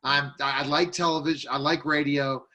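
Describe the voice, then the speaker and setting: A man's voice: fairly high pitch, steady tone, brisk, pushed and bright, dull audio. A man hosting a casual interview chat over a video call. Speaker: interviewer, show host.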